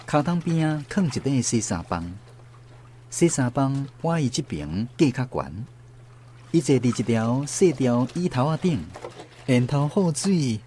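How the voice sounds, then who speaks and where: A grown child recalling family life in a radio drama. His Taiwanese-accented narration is tender and very expressive in pitch, with soft guitar music underneath. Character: grown child fondly remembering a hardworking mother. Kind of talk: scripted literary radio narration.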